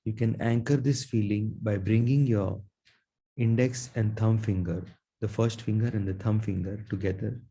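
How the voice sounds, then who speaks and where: A man leading a guided meditation in a soothing, gentle manner, leaving long pauses between his phrases. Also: lowish voice, fairly even intonation, creaky, husky. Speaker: meditation guide, Reiki teacher.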